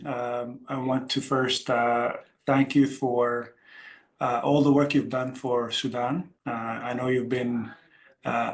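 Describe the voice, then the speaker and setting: A man speaking as a panelist and investment executive, in measured, halting phrases. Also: medium pitch. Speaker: panelist, global head of venture capital. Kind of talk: panel introduction. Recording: faint background noise, room ambience.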